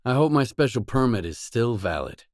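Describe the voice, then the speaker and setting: A man's voice: medium pitch, very animated, normal speed, a little mellow, no room sound, clean studio sound. An actor playing a pragmatic male mercenary stalker in a video game, speaking in restrained, matter-of-fact, clipped lines. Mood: matter-of-fact, guarded. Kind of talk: video game character dialogue.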